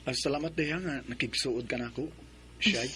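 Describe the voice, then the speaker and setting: Brief: actor as an earnest young suitor in a radio drama, mid-pitch, music under him. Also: male voice, fairly quick, natural voice. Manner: gentle, earnest. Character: shy young man courting a woman.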